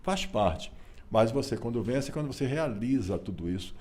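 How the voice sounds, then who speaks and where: A Brazilian man, telling his family story in a relaxed interview, folksy and repetitive with frequent rhetorical tags. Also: medium pitch, normal speed. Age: 60s. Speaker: interview guest, author and businessman.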